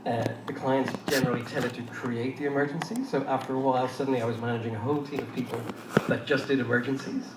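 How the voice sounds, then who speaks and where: A middle-aged man with an Irish accent, speaking to an audience in a loose, anecdotal way with many fillers. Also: mid-range voice. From Ireland. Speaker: panel speaker. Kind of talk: conversational talk to an audience. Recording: roomy far-off hall recording with noise.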